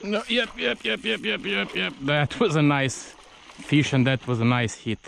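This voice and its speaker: A man's voice: fairly high pitch, moderate pace, creaky, a bit bright, a little hiss or hum. A man talking casually to camera outdoors as he films his own fishing vlog. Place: outdoors by a river.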